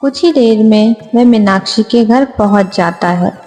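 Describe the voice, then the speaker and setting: Slow, high-voiced woman storyteller. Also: mellow. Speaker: story narrator. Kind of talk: scripted Hindi moral-story narration. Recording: long reverb, music behind.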